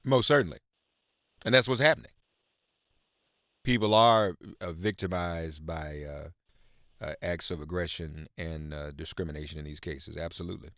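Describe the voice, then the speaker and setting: Low-pitched male talk radio host. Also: normal speed, pauses often, fairly bright tone, no room sound, clean studio sound. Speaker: radio call-in show host. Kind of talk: live political talk radio, news reading and chat with callers.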